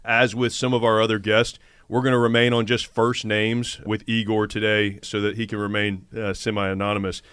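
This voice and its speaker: A man's voice: on the low side, little pitch movement, moderate pace, few pauses, a bit bright, smooth, no echo. An American man hosting a conversational podcast interview in an informal, encouraging way. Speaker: podcast host, army sergeant major.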